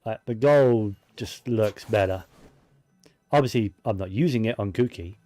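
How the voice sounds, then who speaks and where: A man's voice: on the low side, unhurried, warm tone, no room sound, faint music behind. A man giving casual video game commentary, musing and thinking aloud to himself as he plays.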